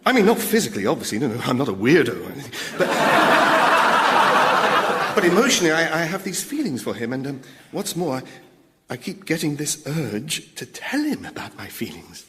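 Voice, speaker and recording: A man's voice: fairly high pitch, wildly swooping pitch, a little fast, background noise. An actor playing a needy, lovesick male demon, hesitant and stammering, in a radio sitcom. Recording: long hall-like reverb.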